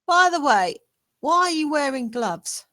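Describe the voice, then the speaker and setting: Measured, polite, puzzled investigator played by a woman, unhurried pace, comedy script read. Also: high voice, big pitch swings, sharp tone, exaggerated voice. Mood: bemused. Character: patient, bemused police detective questioning suspects.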